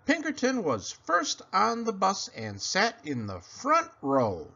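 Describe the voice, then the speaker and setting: A man reading a children's picture book aloud in an animated, dramatic, sing-song way. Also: high voice, slow pace, creaky. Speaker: read-aloud storyteller. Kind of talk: expressive children's story reading. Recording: dull lo-fi sound.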